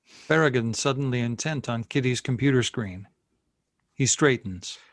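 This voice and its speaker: A man narrates scripted stage directions for a table read. His voice is mid-pitched and recorded dry, with very little room around it. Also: normal speed, dry recording.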